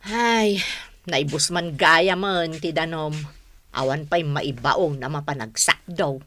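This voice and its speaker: A woman's voice: low voice, takes it slowly, bright, forceful tone, exaggerated voice. A woman playing an exasperated, long-suffering wife in a radio drama, earnest, with an Ilocano accent. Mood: apologetic.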